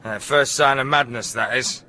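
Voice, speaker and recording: An actor playing a confused, whiny, complaining boyfriend in a naturalistic radio drama. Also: male voice, mid-range voice, steady tone, normal speed, sharp tone. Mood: puzzled, irritated. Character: confused, grumbling, hungry boyfriend.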